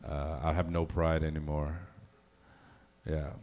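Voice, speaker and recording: A man's voice: a bass voice, level tone, a little slow, darkish tone. A man performing a crude, rambling stand-up comedy set, often laughing at his own jokes and filling gaps with uh and um. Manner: throws in lots of fillers like uh and um.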